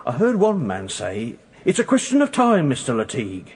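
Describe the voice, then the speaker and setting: An actor playing a deferential, slightly hesitant British police constable giving factual evidence in a radio courtroom drama. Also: male voice, medium pitch, huge pitch swings, moderate pace, darkish tone, husky, exaggerated voice. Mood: careful, deferential.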